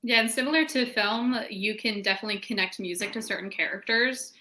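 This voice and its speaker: A woman's voice: mid-range voice, a little fast, pushed and bright, low-quality recording. A woman panelist explaining things casually and thoughtfully, stressing key words as she goes. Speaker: panelist, fiction podcast creator.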